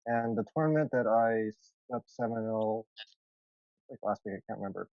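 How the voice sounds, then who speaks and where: A man's voice: lowish voice, moderate pace, no echo. A man from Canada chatting casually as a panel guest, laid-back and noncommittal, over a dull voice call. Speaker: game writer, panel guest. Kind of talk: casual chat.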